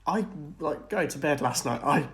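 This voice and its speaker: A man's voice: on the high side, expressive, moderate pace. A man co-hosting a podcast, chatting casually and earnestly as he speculates about film and TV. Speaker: podcast co-host.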